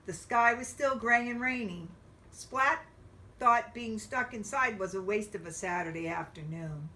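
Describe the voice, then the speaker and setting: A woman reading a picture book aloud to young children, expressively and with drawn-out emphasis. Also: medium pitch, pushed and bright, recorded from a distance. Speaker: children's storybook reader.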